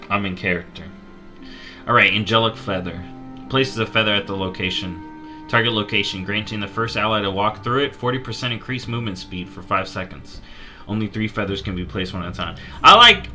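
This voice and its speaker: A man's voice: lowish voice, sharp tone. A man in his thirties on a headset, reading game tooltips aloud on a live stream and reacting with playful mock outrage. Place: small dark room at home.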